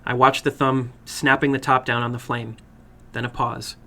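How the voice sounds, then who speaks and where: A man narrating a horror story dramatically, in a deliberate, suspenseful manner. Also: medium pitch, level tone, bright, forceful tone. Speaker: horror fiction reader and host.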